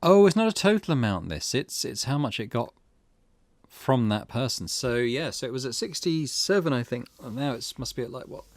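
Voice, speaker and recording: A man hosting a let's play, reading a visual novel aloud and thinking out loud in between. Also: mid-range voice, big pitch swings, moderate pace, no room sound. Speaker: gaming channel host. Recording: music under the voice. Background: game music.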